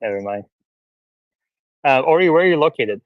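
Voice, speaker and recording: A man hosting a live audio space, casually chatting and moderating callers in a friendly, brisk way. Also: mid-range voice, animated, no room sound, phone-quality sound.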